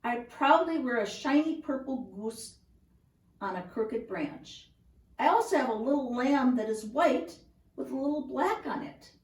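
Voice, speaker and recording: A woman reads a children's book aloud at a very unhurried, deliberate pace. Her voice sits fairly high. It is recorded in a reverberant room at home, some distance from the microphone. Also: expressive, a little mellow. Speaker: storybook reader. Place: indoors at home.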